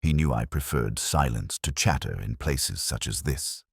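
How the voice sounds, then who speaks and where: Very deep, brooding detective played by a man. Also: animated, creaky, slightly rough, no echo, clean studio sound, heavily acted. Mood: ominous, guarded. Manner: measured, deliberate, grave. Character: brooding male detective inspector, methodical, literary, patient, solemn. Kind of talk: scripted first-person mystery narration.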